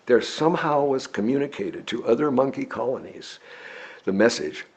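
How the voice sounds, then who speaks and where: An American man reading a sermon from a script, speaking in measured, deliberate phrases with emphatic pauses. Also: mid-range voice, animated, a little mellow, husky.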